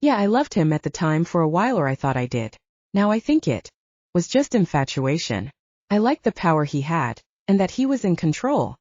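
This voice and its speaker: Lady playing a young werewolf soldier gal, chatty n candid, lively up-and-down pitch, kinda dull recording. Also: normal speed, no echo. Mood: embarrassed, reflective. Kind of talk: audiobook character dialogue. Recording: dull, lo-fi sound.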